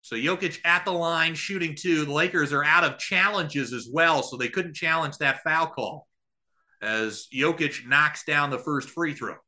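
A man calling a live basketball game as a radio play-by-play announcer, with an excitable delivery. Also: a little high, measured pace.

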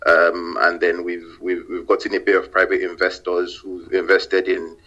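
Low-voiced man, startup founder in interview, halting with fillers, dull lo-fi audio. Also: monotone, sharp tone. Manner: hesitant, many fillers and repeated words. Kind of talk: casual interview.

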